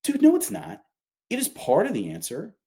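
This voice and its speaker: A man's voice: sits high, huge pitch swings, moderate pace, vocal fry, warm tone, slightly rough. A man talking informally and emphatically, explaining his company's product in a conversational way with casual asides.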